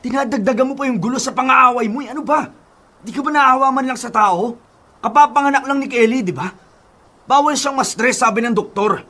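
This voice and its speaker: A man's voice: extremely high pitch, fairly quick, sharp tone, exaggerated voice. Exasperated young Filipino man, scolding. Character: exasperated young father scolding his lover. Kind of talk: Tagalog radio drama acting.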